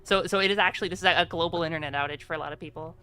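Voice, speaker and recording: A woman's voice: on the low side, very fast, sharp tone, clean tone. A woman speaking informally as game master of a tabletop roleplaying game, chatting and narrating casually.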